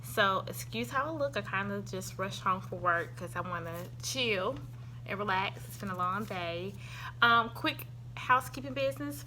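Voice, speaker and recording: A woman's voice: medium pitch, bright, forceful tone, slight background noise, poor audio. A young woman with a Southern US accent, chatting casually through a product review at home and recorded on a handheld phone, rambling with many ums. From Southern United States. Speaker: vlogger reviewing supplements. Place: her home, indoors.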